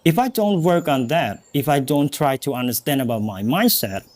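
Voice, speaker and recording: A man's voice: medium pitch, expressive, moderate pace, darkish tone. Earnest Cambodian-accented man. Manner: earnest, encouraging, repeats phrases for emphasis. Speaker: English teacher and podcast host. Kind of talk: motivational talk to learners.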